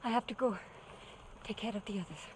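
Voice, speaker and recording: An actress playing a determined, defiant young heroine in a video game drama, speaking in a terse, intense way. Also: female voice, mid-range voice, a little fast, slight background noise, natural voice, low-quality recording. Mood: urgent.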